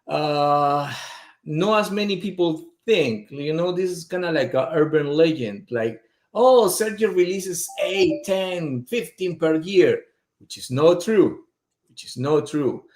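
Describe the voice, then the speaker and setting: A man speaks as a guest in a casual chat, his voice pitched a little high. He hunts for words and leans on fillers. The room around him is noticeably echoey. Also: animated, slow, darkish tone. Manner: strongly accented, searching for words, many fillers. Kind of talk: casual conversation.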